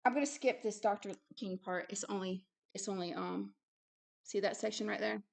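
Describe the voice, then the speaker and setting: A woman's voice: mid-range voice, moderate pace, vocal fry. A woman hosting a religious video, reading aloud and preaching with an emphatic, insistent manner and short repeated phrases. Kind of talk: reading a book aloud with impassioned preaching commentary.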